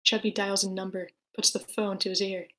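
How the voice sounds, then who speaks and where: Woman narrating screenplay action, medium pitch. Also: flat delivery, a little fast, sharp tone. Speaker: stage directions narrator.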